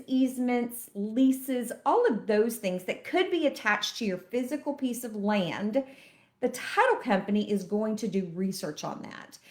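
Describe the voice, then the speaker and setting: Chatty woman, sniffly from allergies. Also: mid-range voice. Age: middle-aged. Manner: chatty and conversational, explains step by step. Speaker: loan officer. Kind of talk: casual educational talk to camera.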